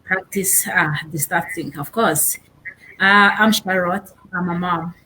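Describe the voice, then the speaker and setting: A young woman with a Rwandan accent, a guest on a casual interview, pausing often and searching for her words. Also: lowish voice, steady tone, pushed and bright, slight background noise, low-quality recording. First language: Kinyarwanda. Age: young adult. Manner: halting, searching for words with many fillers.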